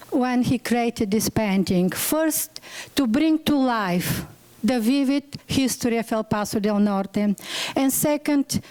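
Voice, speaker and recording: Bulgarian-accented woman, high clear voice. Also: a little mellow. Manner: earnest, searching for words. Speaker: museum director. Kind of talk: symposium presentation.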